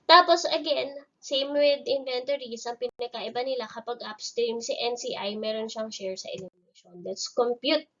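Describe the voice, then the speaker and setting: A woman with a Filipino accent teaches in a fairly high voice. She walks through worked problems step by step, keeping it conversational and instructional. The recording is a little muffled and lo-fi. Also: moderate pace, fairly bright tone. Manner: informal, instructional, mixing technical terms into conversational explanation. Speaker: accounting lecturer. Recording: dull, lo-fi voice recording.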